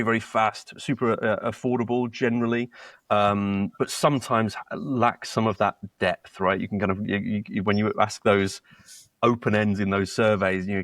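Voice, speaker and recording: A British man speaks as a guest in a casual business interview. His voice sits low, and the sound is dry and close with no room echo. He talks haltingly, repeating words and restarting often. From Britain. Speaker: co-founder and guest.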